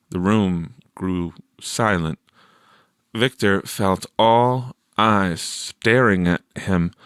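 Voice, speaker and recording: Unhurried, low-pitched man narrating, smooth and clear. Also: dry recording, studio quality. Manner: measured, expressive storytelling. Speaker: audiobook narrator. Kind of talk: scripted story narration.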